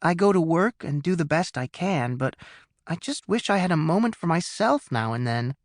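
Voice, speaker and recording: A man's voice: fairly high pitch, expressive, moderate pace, no echo, clean studio sound, lightly acted. A male narrator voicing a stressed young adult daughter who talks in an earnest, worried way, in an audiobook. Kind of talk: audiobook character voice.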